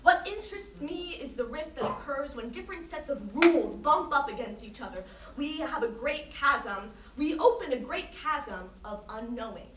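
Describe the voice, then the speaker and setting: Pompous female artist, staged-reading monologue, emphatic lecturing, bright voice, echoey noisy room. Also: high voice, lively intonation, normal speed. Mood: lofty, lecturing. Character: female conceptual artist, grandiose, earnest, pretentious. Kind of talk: theatrical monologue in interview form. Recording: roomy, distant microphone, noisy hall.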